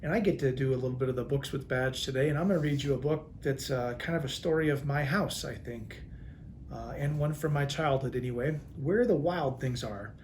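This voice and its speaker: A man's voice: medium pitch, fairly quick, darkish tone, a little hiss or hum. An American man, a police chief, reads a children's picture book aloud expressively, with casual asides.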